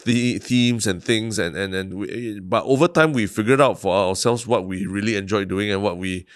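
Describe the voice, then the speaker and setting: A man's voice: fairly low pitch, normal speed, dry recording, clean studio sound. A young man with a Singaporean English accent, co-hosting a podcast in a rambling, casual way with many restarts. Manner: rambling, frequent restarts and "lah" endings. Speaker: podcast co-host. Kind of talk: casual chat.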